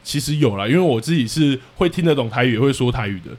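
Male podcast host, Taiwanese Mandarin accent, quick pace, casual and self-deprecating interviewer. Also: medium pitch, expressive.

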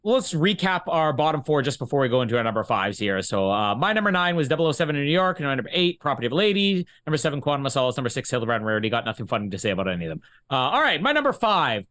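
A man's voice: medium pitch, very animated, rapid-fire, hardly any pauses, fairly bright tone. A man hosting a casual podcast book discussion, thinking aloud in a rambling way. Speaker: podcast host.

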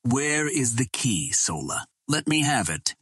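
A man's voice: mid-range voice, big pitch swings, unhurried, a bit bright, husky, no echo, studio quality, exaggerated voice. A man voicing an earnest, gallant adventurer hero with formal, old-fashioned, insistent speech in an audiobook. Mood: urgent demand.

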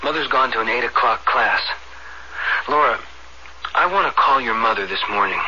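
An actor playing a kindly, easygoing young American medical student in a 1970s radio drama, informal and coaxing. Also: male voice, mid-range voice, normal speed, breathy edge, lo-fi sound. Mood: earnest, persuasive. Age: young adult. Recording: band-limited 1970s radio recording, loud music behind.

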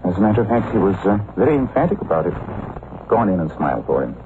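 An actor playing a suave, shrewd detective with a wry, unhurried, pointed delivery in an old-time radio drama. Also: male voice, on the low side, sing-song. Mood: gentle, reassuring. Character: suave, shrewd male amateur detective, calmly confronting. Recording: muffled, hissy vintage radio recording.

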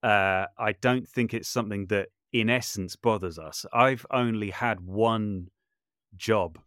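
A man's voice: lowish voice, measured pace, a bit bright, dry recording, clean studio sound. A man speaking reflectively as an interview guest, feeling his way through sentences and often rephrasing as he goes. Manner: thoughtful, hesitant, self-correcting with repeated words. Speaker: podcast guest, composer and voice artist.

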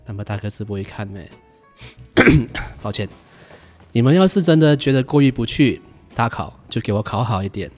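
A man's voice: medium pitch, expressive, normal speed, long pauses, darkish tone, faint music behind, lightly acted. A man with a Malaysian accent playing an earnest, easily flustered math teacher in a Chinese radio drama, stern but caring. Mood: businesslike. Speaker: amateur voice actor.

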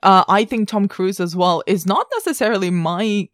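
A woman's voice: mid-range voice, normal speed, dry recording, clean studio sound. A woman co-hosting a casual film discussion, speaking in an emphatic, opinionated and playful way. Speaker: podcast co-host.

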